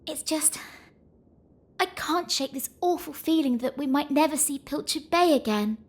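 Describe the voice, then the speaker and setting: High-pitched teasing young girl adventurer. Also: lively intonation, moderate pace, slight background noise. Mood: wistful, uneasy. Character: teasing, bossy young girl adventurer, bravado over homesickness. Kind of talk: video game voice acting.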